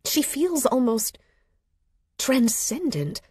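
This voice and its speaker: A woman reading literary fiction as an audiobook narrator, with a measured, expressive delivery and a slightly husky voice. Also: high voice, no room sound, clean studio sound. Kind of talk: scripted literary fiction narration, present tense. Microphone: close studio microphone.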